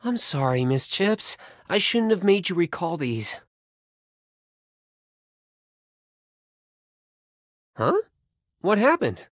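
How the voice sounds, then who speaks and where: Boyish, animated young pop singer. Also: male voice, high voice, unhurried, dry recording, studio quality, heavily acted. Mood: gentle, caring. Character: playful, affectionate young male pop singer. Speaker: voice actor in a mobile romance game. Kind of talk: scripted game phone-call dialogue.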